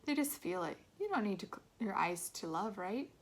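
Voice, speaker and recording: A woman voicing a loving, gentle mother in a storybook, speaking in a soothing, affectionate manner. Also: medium pitch, sing-song, normal speed. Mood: tired, tender.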